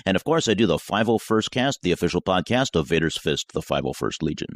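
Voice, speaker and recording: A man with a very low voice speaks as an interview guest in a dry recording. His talk is casual and matter-of-fact, moving along in a slightly halting, conversational way. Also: big pitch swings, no room sound. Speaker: podcast guest and app maker.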